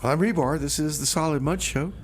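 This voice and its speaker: A man's voice: fairly high pitch, fairly quick. A man hosting a community radio music show in a casual, laid-back, skeptical manner with comic banter.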